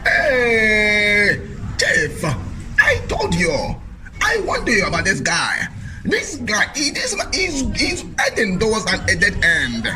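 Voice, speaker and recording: Mocking nigerian henchman in a radio play, slow drawn out, pitch all over, music under. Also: wildly swooping pitch, full character voice, low-quality recording. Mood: agitated scorn. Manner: mocking, theatrical. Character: scornful, excitable male crony of a corrupt chief. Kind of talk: radio drama acting.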